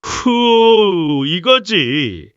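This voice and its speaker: Narrator acting a rough, slow-drawling gangster thug, forceful and loud. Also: big pitch swings, no room sound, highs cut off, full character voice. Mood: brash. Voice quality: rough, booming. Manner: mocking, pushy, drawling. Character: menacing loud male gangster, leering and bullying.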